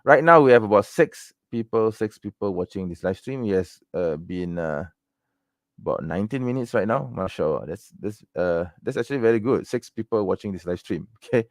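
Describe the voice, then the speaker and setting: Malaysian male livestream host, very low voice, dry close sound, loose rambling delivery with constant tag questions. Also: animated, fairly quick, no room sound. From Malaysia, raised in Penang. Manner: rambling, many fillers and tag questions like "okay?" and "right?". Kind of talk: casual livestream chat answering viewer questions.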